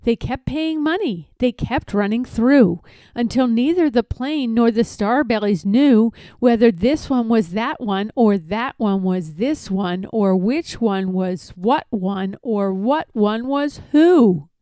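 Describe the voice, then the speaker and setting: A woman reading rhyming verse aloud for children in an expressive, measured storybook style. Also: fairly high pitch, no room sound. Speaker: storybook reader.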